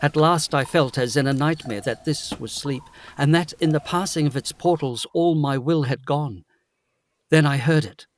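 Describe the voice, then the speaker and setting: An actor playing an uneasy, impressionable gentleman, giving brooding first-person narration in a gothic audio drama. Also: on the high side, normal speed, slight background noise, natural voice. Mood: haunted, brooding. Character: uneasy, impressionable gentleman recounting eerie nights.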